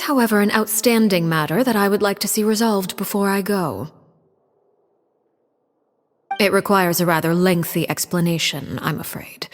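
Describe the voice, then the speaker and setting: An actress playing a poised, cold female diplomat and matriarch in a video game, speaking calmly and precisely. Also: medium pitch, long reverb tail, natural voice. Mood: polite. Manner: calm, precise, quietly commanding.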